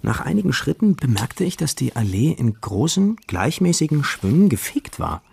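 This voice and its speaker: A creaky-voiced young wanderer. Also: male voice, mid-range voice, very animated, normal speed, a little mellow, heavily acted. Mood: searching, wondering. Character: young male wanderer recounting his journey, searching and uneasy. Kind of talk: first-person radio drama storytelling. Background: music.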